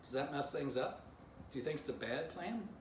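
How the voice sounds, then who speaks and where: A man's voice: on the high side, lively intonation, normal speed, voice pushed up, natural voice. A man voicing a naive, hopeful storybook dog who explains himself in an earnest way. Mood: uncertain. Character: naive, hopeful male dog proposing plans. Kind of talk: children's storybook character voice. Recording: distant mic, roomy, noisy.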